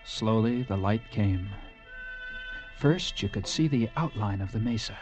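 An actor playing a steady, commanding U.S. cavalry officer in a vintage radio drama, speaking in a measured way. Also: male voice, lowish voice, soft background music. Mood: tense, hushed. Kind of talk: 1950s radio drama acting with narration.

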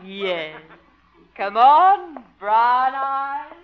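High-voiced, cooing flirt. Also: female voice, sing-song, drawn-out words, noisy background, heavily acted. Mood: coy, coaxing. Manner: cooing, lingering, teasing. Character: flirtatious, coy woman dance instructor, sweetly forward. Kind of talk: 1950s radio comedy acting. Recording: muffled old-time radio recording with music.

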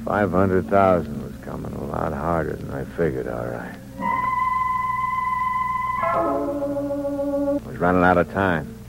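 Cold-blooded young American killer, 1940s radio noir. Low voice, animated intonation, terse slang. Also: male voice, creaky, heavily acted. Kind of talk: 1940s radio thriller acting, first-person hardboiled narration. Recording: muffled old radio recording with hum and hiss.

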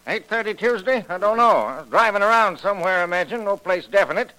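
High, sharp-voiced cranky old man. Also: lively intonation, quick pace, poor audio. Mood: grumbling, defensive. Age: older. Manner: querulous, grumbling, curt. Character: cranky, sickly old businessman, curt and suspicious. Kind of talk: 1940s radio drama acting. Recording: old bright radio drama recording.

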